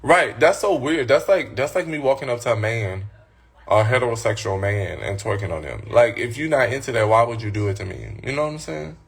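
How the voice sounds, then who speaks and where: Gravelly-voiced man chatting on a livestream. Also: mid-range voice, animated, fairly quick. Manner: blunt, sassy, talks back to commenters. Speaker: livestream host. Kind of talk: casual livestream chat reading viewer comments.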